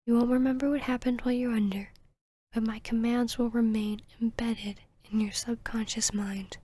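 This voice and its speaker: A woman playing an arrogant, cruel, seductive vampire queen in a hypnotic ASMR roleplay, teasing and commanding in a breathy whisper. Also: fairly high pitch, level tone, moderate pace, warm tone, dry recording, close to an everyday voice. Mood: sinister, seductive.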